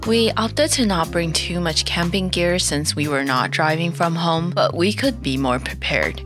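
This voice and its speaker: A young adult woman calmly narrating her travel vlog in a scripted voice-over. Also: fairly low pitch, unhurried, over music. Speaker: vlog host.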